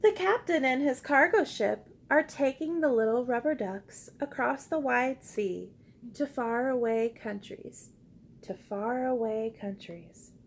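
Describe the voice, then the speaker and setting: High-voiced woman reading aloud to children. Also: a little slow, vocal fry, slight background noise. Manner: encouraging, expressive, addresses young children with simple questions. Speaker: teacher reading a picture book aloud. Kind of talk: interactive children's read-aloud with call-and-response prompts.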